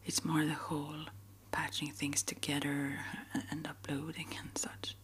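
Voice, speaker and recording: A woman speaking in a gentle, hesitant, rambling way, as an ASMR creator chatting to her viewers. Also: low-pitched, flat delivery, a little slow, a little hiss or hum. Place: small upstairs apartment.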